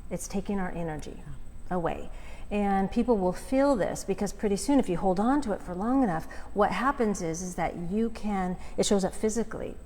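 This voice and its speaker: A woman's voice: medium pitch, expressive, fairly quick, darkish tone. A woman speaking as a guest coach, explaining conversationally and often checking in with the listener. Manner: outgoing, often checks in with "right?". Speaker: forgiveness coach, interview guest. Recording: echoey hall with clear background noise.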